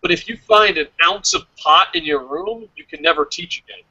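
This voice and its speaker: A man's voice: sits high, normal speed, vocal fry, breathy edge, dry recording, rough recording. A man hosting a casual wrestling review show, joking and swearing freely as he reads out listener comments. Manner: profane, joking, animated.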